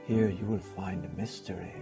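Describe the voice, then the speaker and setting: A woman's voice: extremely low pitch, steady tone, measured pace, creaky. A woman announcing in a grand, formal old-time radio style, narrating a romance. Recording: loud music behind the voice.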